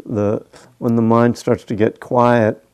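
A man's voice: medium pitch, flat delivery, slow pace, darkish tone, smooth. A man leading a meditation group's question time, easygoing and wry, with many fillers. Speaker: meditation teacher. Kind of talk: relaxed Q&A guidance. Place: meditation hall.

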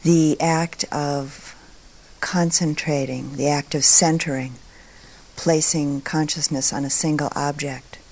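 A woman teaching meditation, speaking calmly and deliberately in short phrases with long pauses between them. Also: sits low. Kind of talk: guided meditation talk.

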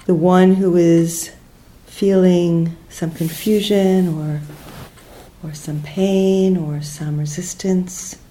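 A woman leading a guided meditation as a teacher, speaking gently and unhurriedly in short phrases with pauses between them. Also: fairly low pitch, fairly even intonation, poor audio.

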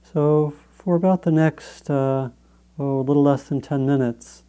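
A man guiding a meditation as a teacher, speaking in a measured, gentle way with long pauses between phrases. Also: on the high side, fairly even intonation, clean tone. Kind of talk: guided meditation instruction.

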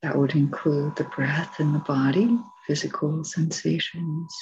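A woman teaching meditation, giving calm, gentle spoken guidance with long pauses between phrases. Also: sits low, dull audio, a little hiss or hum. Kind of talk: guided meditation, soft spoken instruction.

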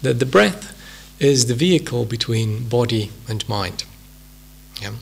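A man with a Swiss accent giving an unhurried, reflective talk as a meditation teacher, with many pauses and fillers. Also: medium pitch, expressive, vocal fry, breathy edge. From Switzerland. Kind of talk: dharma talk at a retreat.

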